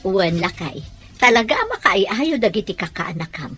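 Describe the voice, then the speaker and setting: A woman's voice: mid-range voice, lively intonation, normal speed, fairly bright tone, lightly acted. An actress playing a fond middle-aged mother and wife in a radio drama, approving and fond, with faint music behind.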